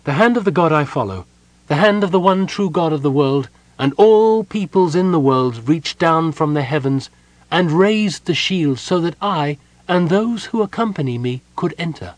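A man's voice: on the high side, animated, moderate pace, no room sound, voice pushed up, close to an everyday voice, rough recording. A man voicing a young girl prisoner in a fantasy audiobook, serenely devout and defiant, with a cool, earnest manner. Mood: fervent, certain. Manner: cool, earnest, with a hint of iron.

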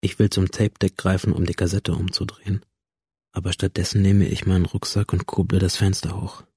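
An actor playing a brooding, detached male school student in an introspective, measured first-person radio drama monologue, with a low, husky voice. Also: little pitch movement, a little mellow, dry recording, clean studio sound, full character voice. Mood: cold, resolved.

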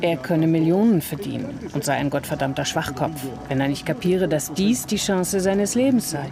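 An actress playing an observant, talkative neighbour giving witness testimony in a radio crime drama, telling it deliberately and with emphasis. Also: female voice, low-pitched, vocal fry, music in the background. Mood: earnest, emphatic.

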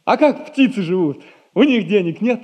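An actor playing an eager, naive, honest young son in a theatrical audio comedy. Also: male voice, sits high, lively intonation, normal speed, a little mellow. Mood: agitated, relieved. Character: excitable, honest young man, poor widow's devoted son. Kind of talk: classic Russian stage comedy acting, audio play.

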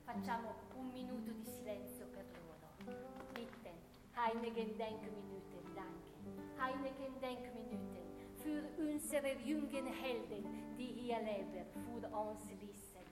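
An actress playing a solemn, fussy woman who repeatedly hushes and leads a memorial for fallen soldiers in a theatrical radio play. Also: on the high side, takes it slowly. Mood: solemn, hushing. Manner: repetitive hushing pleas, switching between several languages. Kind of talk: theatrical radio drama monologue. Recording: echoey hall, loud guitar music behind. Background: guitar music.

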